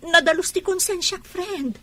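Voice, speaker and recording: High, bright, defiant young Filipino wife. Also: female voice, normal speed. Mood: anxious, protesting. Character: wrongly accused young wife, earnest and defiant. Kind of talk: radio drama acting.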